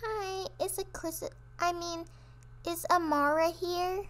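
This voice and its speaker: Woman voicing a very high-pitched little child guest. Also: fairly even intonation, slow, a bit bright, full character voice. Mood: chatty. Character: young child party guest, chatty and blunt.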